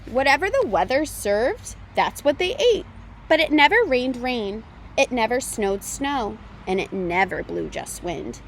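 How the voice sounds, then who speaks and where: High-voiced woman reading a children's storybook. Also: very animated, a little slow, slight background noise. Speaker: storytime reader. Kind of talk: read-aloud of a children's picture book.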